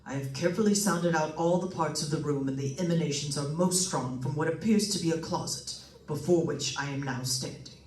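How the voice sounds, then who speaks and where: An actor playing a solemn psychic investigator, a doctor giving formal, precise reports in an old-time radio suspense drama. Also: male voice, a little high, brisk, few pauses, natural voice. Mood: solemn, intent. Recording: echoey room, distant mic.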